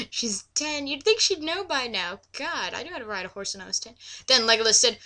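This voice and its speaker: A woman's voice: a little high, sing-song, a little fast, sharp tone. A woman reading a story aloud mockingly, with theatrical delivery and exasperated asides. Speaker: reader mocking an amateur story.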